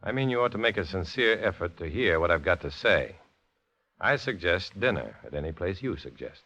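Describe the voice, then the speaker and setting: An American actor in a 1950s radio drama playing a cool, guarded undercover agent with a clipped, measured, insinuating delivery. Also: male voice, low-pitched, expressive, pushed and bright, full character voice. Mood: guarded, insistent. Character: cool, guarded male undercover agent posing as Communist blackmailer. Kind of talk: 1950s American radio drama acting with first-person narration. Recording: dull, lo-fi old radio recording, music behind.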